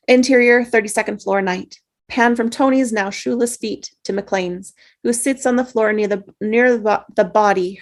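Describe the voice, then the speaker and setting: A woman narrator reading screenplay action and scene headings, sometimes stumbling and rereading phrases. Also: medium pitch. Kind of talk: scripted narration, reading stage directions.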